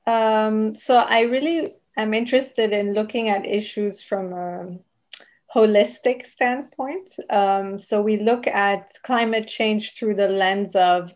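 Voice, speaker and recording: A woman's voice: medium pitch, fairly even intonation, takes it slowly, darkish tone. A woman from Luxembourg, a magazine founder, answering interview questions in a matter-of-fact way over a video call. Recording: slightly dull sound.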